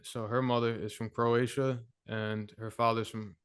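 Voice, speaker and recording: A man's voice: medium pitch, level tone, normal speed, clean tone. A man speaking as a podcast guest, laid-back and candid in casual talk. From United States. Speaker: guest musician.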